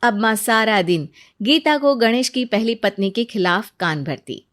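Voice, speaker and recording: Brief: female storyteller, fairly high voice, scripted narration for a cartoon moral tale. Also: animated, unhurried, a bit bright.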